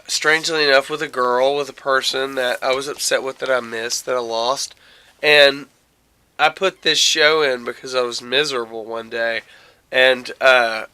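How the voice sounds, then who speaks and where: A man hosting a casual, rambling podcast chat, haltingly searching for words and often repeating his phrases. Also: medium pitch, sharp tone, smooth. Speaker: podcast host.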